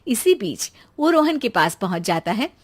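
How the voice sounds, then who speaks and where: A woman's voice: fairly high pitch, big pitch swings, moderate pace. A woman storyteller reading scripted narration for a children's comedy tale.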